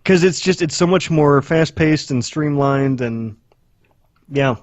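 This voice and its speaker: Man, podcast host, slightly high voice, casual, blunt, hyperbolic, dry lo-fi sound. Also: slow pace, no room sound. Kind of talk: casual video game discussion.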